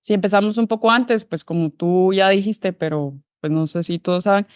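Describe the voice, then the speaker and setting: A woman's voice: medium pitch, moderate pace, clear, resonant tone, no echo, studio quality. A woman from Guatemala, a behavior analyst, telling her career path in an interview, thoughtful and full of restarts. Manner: thoughtful, many fillers and restarts. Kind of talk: relaxed interview conversation.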